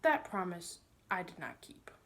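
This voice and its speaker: A woman's voice: on the low side, big pitch swings, measured pace, a bit bright. A woman voicing a pompous, sly older male magician in a storybook, smug and condescending, with a wheedling manner. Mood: confiding.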